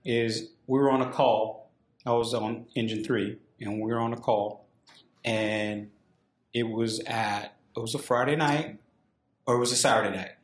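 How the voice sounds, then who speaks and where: A man's voice: medium pitch, a little slow. Podcast host guy, medium voice, tells stories with lots of repeats, echoey room. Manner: animated storyteller, repeats phrases for emphasis. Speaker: podcast host, former firefighter. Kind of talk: podcast interview and storytelling.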